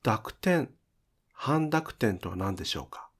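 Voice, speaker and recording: A man's voice: fairly low pitch, lively intonation, unhurried, slightly rough. A man giving scripted instructional narration as a pronunciation teacher, enunciating carefully step by step.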